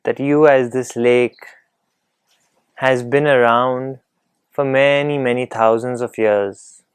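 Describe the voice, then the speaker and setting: A man leading a guided meditation in a calm, gentle, unhurried manner, leaving long pauses between phrases. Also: mid-range voice, clear, resonant tone, no room sound.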